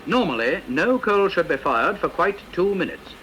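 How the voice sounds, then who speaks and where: A British man reading a scripted instructional narration for a vintage training film, in a precise, measured, didactic manner. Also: high voice, very animated, background noise.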